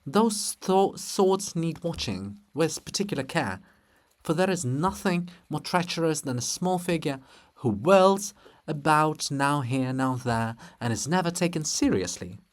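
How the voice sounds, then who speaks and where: Seeking a male reader for a bombastic monument of a bearded historian in a stage farce: scornful, emphatic, very animated pitch. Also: unhurried. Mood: scornful ranting.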